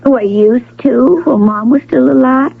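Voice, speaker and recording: An actress playing a wary, wistful young ranch woman in an old-time radio Western, plainspoken and husky, with a rustic Western American drawl. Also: high-pitched, measured pace, heavily acted. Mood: hesitant, downcast. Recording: phone-like old radio broadcast, faint music.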